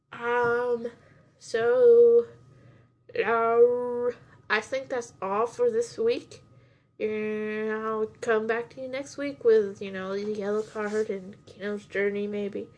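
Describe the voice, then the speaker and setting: A woman's voice: fairly high pitch, a little mellow. A young woman hosting a casual podcast, chatting in a rambling way with many restarts while she has a runny nose and sniffles. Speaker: podcast host reviewing anime. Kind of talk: casual chat.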